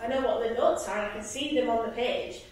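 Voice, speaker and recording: Female musical director, higher register, recorded distantly in a very reverberant room. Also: fairly even intonation, fairly quick, a little mellow. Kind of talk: casual chat. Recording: echoey room from far off.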